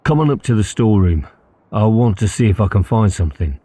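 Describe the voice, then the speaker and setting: A man's voice: lowish voice, lively intonation, a little fast, dark tone, dry recording, voice pushed up. A man reading an audiobook as a mischievous schoolboy, eager and conspiratorial, talking casually about schemes. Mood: keen, scheming.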